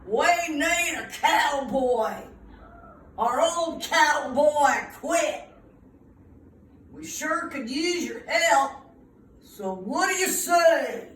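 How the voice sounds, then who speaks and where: A gruff cowboy boss from a children's storybook, put on by a woman. The delivery is loud, growling and commanding, with drawn-out words. It is heard a little off the mic in a roomy space. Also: high voice, lively intonation, heavily acted. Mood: gruff, insistent. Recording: roomy, distant mic, faint noise.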